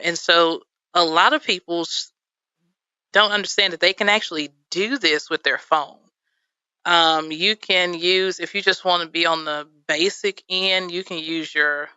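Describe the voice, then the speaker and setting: A woman's voice: sits low, bright, forceful tone, clear, resonant tone, no room sound, lo-fi sound. A woman videographer, a guest on a radio show, who explains things chattily with many fillers and laughs easily, with a Texan accent. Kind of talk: radio interview. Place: radio studio.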